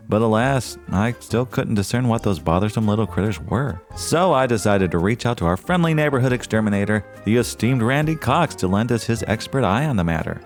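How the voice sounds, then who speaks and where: A man's voice: fairly low pitch, a little mellow. A man playing an earnest small-town radio host, delivering flowery, formal announcements in an audio drama. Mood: cheerful, earnest.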